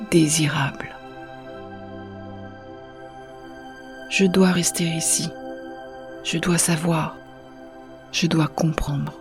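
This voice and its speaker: A woman performs an entranced, world-weary dreamer in an audio drama. Her voice is fairly low and very even, hushed and solemn as she speaks in poetic phrases. Music plays clearly behind her. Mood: fascinated, resolute. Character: entranced woman drawn to an otherworldly bliss, weary of life. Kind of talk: first-person audio fiction monologue.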